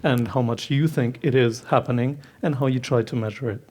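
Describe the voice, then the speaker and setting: A man's voice: medium pitch, brisk, a little mellow. German man moderating a conference panel. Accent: Bavarian German. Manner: hesitant, many ums, long introductions. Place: large conference hall stage.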